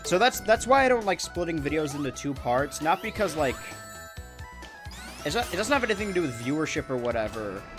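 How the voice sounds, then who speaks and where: A young American man with a monotone voice, chatting loosely while streaming a video game, rambling with many restarts. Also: a little high, brisk, over music. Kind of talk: casual gameplay chat.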